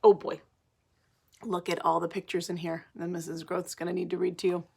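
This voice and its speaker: A woman teacher reading a picture book to children, animated, pausing for simple explanations and questions. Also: on the low side, gravelly, slightly rough. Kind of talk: picture-book read-aloud with asides to children.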